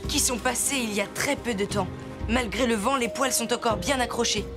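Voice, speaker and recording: A woman's voice: a little high, fairly quick, over music, close to an everyday voice. A woman voicing a brave, kind and determined young boy in a French cartoon. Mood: curious, focused.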